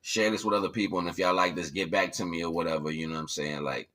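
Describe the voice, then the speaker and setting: A man's voice: low voice, steady tone, fast talker, fairly bright tone. A man talking casually as a livestream host, in slangy, informal speech, often checking in with his listeners. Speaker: livestream host and hobby musician.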